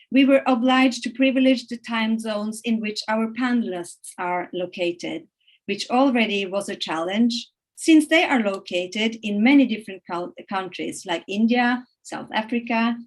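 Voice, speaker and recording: Earnest woman with a fairly high voice. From Norway and Italy. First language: Norwegian and Italian. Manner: measured, earnest, with frequent hesitations and restarts. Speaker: conference opener. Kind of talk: formal welcome address, read.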